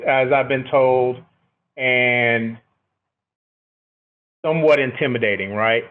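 Over a narrow, phone-like connection, a middle-aged man talks as an interview guest. He has a booming voice at an average pitch. His delivery is conversational and reflective, often checking that the listener follows. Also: steady tone, slow pace. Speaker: military officer, podcast guest. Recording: thin, phone-like band-limited line.

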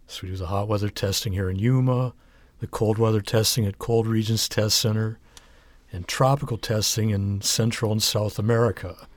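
A man interviewing a guest, asking measured, informative questions. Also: fairly low pitch, fairly even intonation, no room sound.

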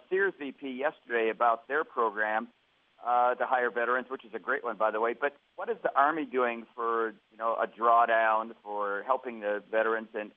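A man calling in as a blogger, asking a question with many fillers. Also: medium pitch. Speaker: caller, blogger. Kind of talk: question on a call. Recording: tinny phone line.

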